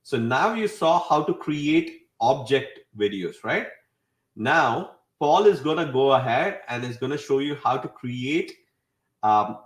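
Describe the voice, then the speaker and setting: A man hosting a webinar with a casual software walkthrough, often checking in with a tagged-on "right?". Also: mid-range voice. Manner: often tags statements with "right?". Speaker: webinar host demonstrating software. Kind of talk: casual screen-share tutorial. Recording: roomy, echoey voice recording.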